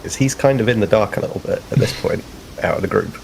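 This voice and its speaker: Man, gravelly low voice, game player, casual hesitant narration, noisy room. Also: fast talker, darkish tone. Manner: hesitant, describing his character's actions. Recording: clear background noise, room ambience.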